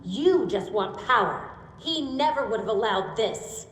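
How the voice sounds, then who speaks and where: Young female vigilante, indignant and firm, lively pitch swings, echoing space. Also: measured pace, background noise. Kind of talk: dramatic video game cutscene acting. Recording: echoey reverberant space.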